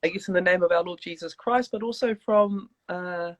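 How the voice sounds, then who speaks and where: A woman from New Zealand with a New Zealand accent, chatting as an interview guest on a video call, warm and rambling with many restarts. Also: lowish voice, moderate pace, no room sound, highs cut off. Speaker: guest, church leader. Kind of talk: casual interview chat.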